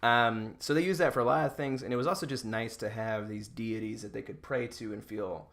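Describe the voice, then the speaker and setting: Casual American man with a creaky voice. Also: lowish voice, fairly even intonation, a little fast, a bit bright. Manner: joking, informal. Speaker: podcast host. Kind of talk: casual explainer chat with a co-host.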